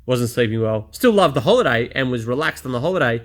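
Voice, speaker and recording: A man talks straight to the camera in a personal vlog. His delivery is blunt, chatty and a little self-deprecating, and his voice sits at an ordinary middle pitch for a man. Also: expressive, fast talker. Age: 30s. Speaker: vlogger. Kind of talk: candid personal vlog monologue.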